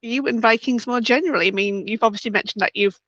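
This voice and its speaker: A woman's voice: a little high, fast, fairly bright tone, dry recording. A woman hosting a casual interview, talking in loose, conversational phrasing on a slightly lo-fi microphone. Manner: loose phrasing with frequent "kind of like". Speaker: interview host. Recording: dull, slightly lo-fi close microphone.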